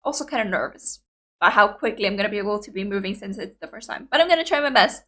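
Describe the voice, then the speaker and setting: A young woman whose first language is Greek, hosting a reaction video in an excitable, chatty way full of fillers. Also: mid-range voice, fast. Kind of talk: casual reaction chat.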